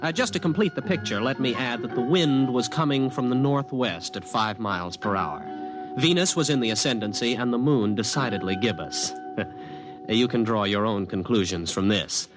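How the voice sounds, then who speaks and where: Animated, wry young college man played by an actor. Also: medium pitch, normal speed, music in the background, natural voice, poor audio. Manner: wry, nervous, stammering when flustered. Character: anxious, wry young male college student narrator. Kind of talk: 1950s radio drama acting, first-person narration.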